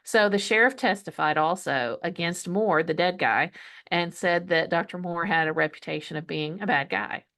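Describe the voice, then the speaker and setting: A woman's voice: fairly low pitch, moderate pace, fairly bright tone. A woman co-hosting a podcast, telling a story in casual conversation with chatty, wry asides. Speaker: podcast co-host.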